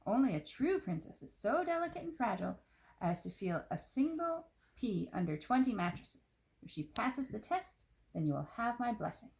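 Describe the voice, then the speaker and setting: Storybook old penguin queen, performed by a woman: haughty, suspicious, imperious, lively swooping pitch, some creak. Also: moderate pace, poor audio. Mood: stern, insistent. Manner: disapproving, imperious.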